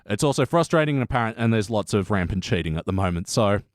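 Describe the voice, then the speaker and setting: A man's voice: fairly low pitch, lively intonation, quick pace, dry recording, clean studio sound. A man hosting a podcast in casual chat, talking informally and enthusiastically with plenty of swearing. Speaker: podcast host.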